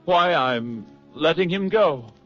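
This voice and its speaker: A gentle, haunted man in a 1940s radio drama. He speaks haltingly and earnestly, with intonation that moves widely. The old recording is dull, with faint music behind. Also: a little high, expressive. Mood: earnest, relieved. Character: haunted, gentle man recovering from a broken mind.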